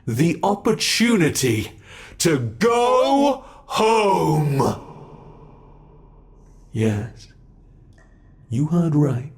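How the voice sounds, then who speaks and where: A British man voicing an ancient male monster in an ASMR horror roleplay, speaking with soft, mockingly polite menace. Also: a little high, sing-song, exaggerated voice. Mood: teasing. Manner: slow, soft-spoken, teasing menace.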